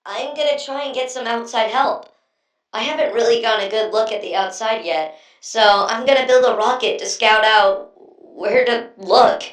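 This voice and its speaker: A woman's voice: a little high, a little hiss or hum. A woman voicing a friendly, earnest young female inventor in a video game, talking in a casual, chatty, slightly hesitant way. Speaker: video game quest character. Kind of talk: scripted game voice lines, conversational. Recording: roomy, echoing, distant-sounding voice.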